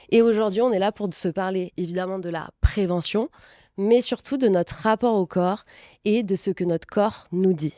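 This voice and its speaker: A woman's voice: mid-range voice, warm tone, dry recording, studio quality. A woman in her late twenties hosting a podcast, speaking in a conversational interviewing style. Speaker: podcast host. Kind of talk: conversational interviewing at a talk event.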